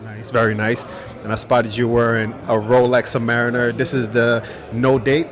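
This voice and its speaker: A man's voice: mid-range voice, level tone, moderate pace. A man hosting a vlog at an event, upbeat and speaking straight to his viewers while interviewing guests. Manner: upbeat, addresses viewers directly, friendly interviewer. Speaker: watch vlogger, host. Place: busy indoor event. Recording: music playing behind.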